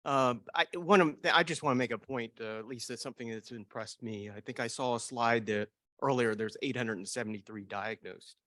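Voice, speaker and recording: Man, audience questioner, mid pitch, dry sound, grateful and rambling. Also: brisk, bright, forceful tone, no echo. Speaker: audience member asking a question. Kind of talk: question from the floor.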